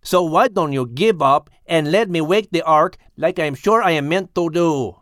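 A woman's voice: sits low, normal speed, dry recording, clean studio sound, pitched below the performer's own voice, natural voice. A woman voicing a proud, touchy male raven with a mock Spanish-flavored way of talking, in a storybook reading. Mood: indignant.

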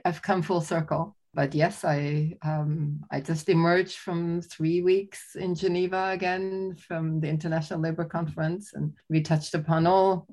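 Older woman with a low voice. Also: darkish tone. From Austria. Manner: thoughtful, many fillers and restarts. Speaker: guest, professor emerita. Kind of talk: conversational interview.

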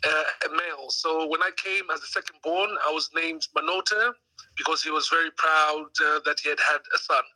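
A man's voice: fairly high pitch, a little fast, bright, forceful tone, rough recording. A man from Malawi in his forties, a guest answering interview questions with frequent hesitations. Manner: hesitant, many uhs.

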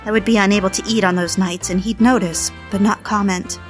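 Actress as yearning devoted wife, intimate confessional narration, measured literary delivery, audio drama, music underneath. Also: female voice, medium pitch, fairly even intonation, natural voice. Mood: wistful longing. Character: yearning, submissive wife confessing an obsessive marriage. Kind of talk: dramatized first-person diary narration. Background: background music.

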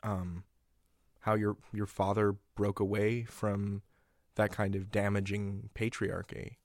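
Man interviewing, lowish dry voice. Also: flat delivery, slow, no room sound. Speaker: podcast interviewer. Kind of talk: casual conversational interview.

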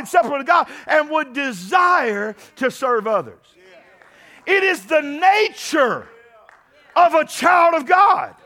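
High, bright-voiced preaching man. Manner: impassioned preaching, shouting at peaks. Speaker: pastor. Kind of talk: sermon.

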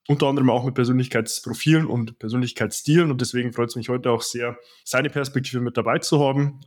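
A man hosting a podcast interview, speaking in long, wordy questions with fillers. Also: medium pitch. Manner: wordy, many repeated "auch" and fillers. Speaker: podcast host, personal trainer.

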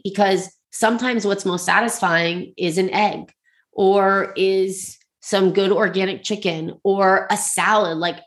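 A woman with a slightly congested voice, talking casually and reflectively in a personal, confessional solo style with lots of "like". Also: mid-range voice. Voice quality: slightly congested, clogged-up nose. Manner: informal, reflective, frequent "like". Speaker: host sharing personal advice and resources.

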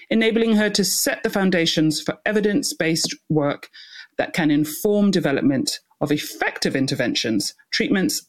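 A woman working as a podcast host, introducing and questioning a guest. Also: low voice.

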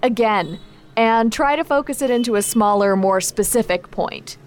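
Woman playing a caring but strict magic coach lady, highish voice, straight talking, podcast drama w soft music. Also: moderate pace, lightly acted. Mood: focused, instructive. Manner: frank, instructive, gently concerned. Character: Mahira, caring but exacting woman training a friend in magic. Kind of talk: naturalistic audio drama acting.

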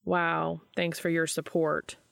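A woman's voice: low voice, little pitch movement, slow, creaky, fairly bright tone, dry recording, heavily acted. A woman voicing a dry, sarcastic young man who gets flustered, in a story reading. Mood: dry, dismissive.

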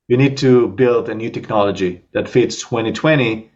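A startup co-founder with an Israeli accent talks through his company in a relaxed interview, pitched in the middle of a man's range. His speech runs fluently but is peppered with fillers. The audio sounds muffled, as if it came over a video call. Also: normal speed. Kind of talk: casual interview talk.

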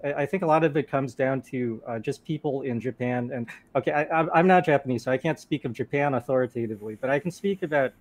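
A man's voice: medium pitch, fast talker, few pauses. Man raised in Thailand, stammering a little. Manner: stammering restarts. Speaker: panel guest. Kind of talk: casual panel discussion.

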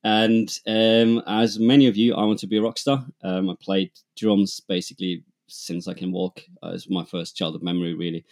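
A man from Germany with a German accent, a music producer and manager talking in a relaxed, rambling, self-deprecating way as a podcast guest. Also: low voice, moderate pace, few pauses. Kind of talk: casual interview chat.

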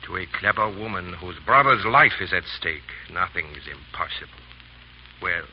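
A man acting a smooth, coldly courteous and menacing French ambassador in a vintage radio drama. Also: sits low, animated. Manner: suave, measured, coldly courteous. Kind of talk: 1930s-40s radio acting. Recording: old muffled radio broadcast with hiss.

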